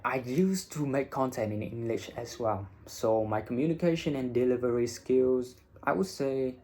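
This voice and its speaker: Young male vlogger, blunt, casual profanity, mid pitch. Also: moderate pace, mellow. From Vietnam. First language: Vietnamese. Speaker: IELTS teacher and vlogger. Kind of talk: casual advice monologue.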